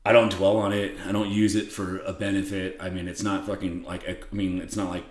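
A man with a raspy voice, a podcast guest talking bluntly and swearing freely in casual conversation. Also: low voice, flat delivery, fairly quick. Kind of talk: casual interview chat. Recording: roomy echo.

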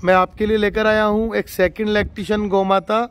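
A high-voiced man from Rajasthan talks up a farm cow in casual, repetitive bursts of praise, his pitch staying flat and level. He speaks off camera in an outdoor farmyard, with faint background noise under his voice. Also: normal speed. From Rajasthan, India. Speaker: dairy farmer showing a cow for sale. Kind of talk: casual spoken livestock showcase.

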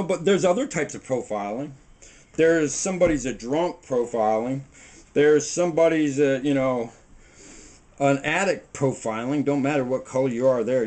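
A middle-aged man talks through a loose, preachy monologue while working dough at his kitchen table. His voice sits a bit high for a man, and it is picked up by a camera a few feet away in a small home kitchen. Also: a little mellow. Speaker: home cooking show host. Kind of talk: rambling casual monologue while baking. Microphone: camera mic on the table a few feet away.

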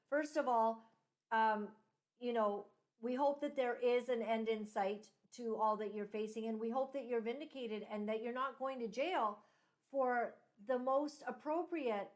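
A middle-aged woman hosting an online interview, speaking haltingly with many fillers over a webcam. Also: fairly high pitch. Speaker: host.

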